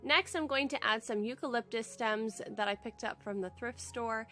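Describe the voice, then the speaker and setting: Young woman with a head cold, upbeat and chatty, fairly high voice, walks viewers through her home decor how-to over soft background music. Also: normal speed. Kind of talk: casual how-to narration.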